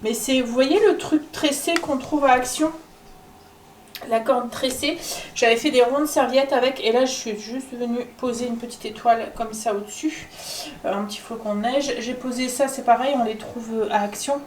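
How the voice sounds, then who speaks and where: A woman vlogging casually from home, with a stuffy nose from a cold, chatting with lots of filler pauses. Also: on the high side, a little mellow, reverberant, a little hiss or hum. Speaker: family vlogger. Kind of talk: casual home vlog chat.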